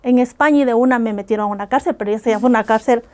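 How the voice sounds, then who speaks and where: A woman's voice: fairly high pitch, very fast. A woman from Ecuador, earnestly recounting hard personal experiences as a guest on a podcast. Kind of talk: personal testimony in a podcast interview.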